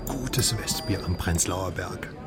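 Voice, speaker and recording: A man's voice: low voice, slow pace, music in the background. A man acting in a radio drama as a philosophizing, optimistic drinker talking expansively to his friend. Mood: wry, relaxed.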